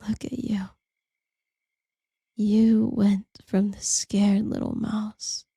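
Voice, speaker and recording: A woman plays a seductive, possessive lamia in an intimate audio roleplay. Her voice is very soft, dark and breathy, often dropping into a whisper with a creaky edge. She lingers slowly over her words, condescending and intimate, as if to a former lover. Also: mid-range voice, dry recording, exaggerated voice. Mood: bitter, possessive.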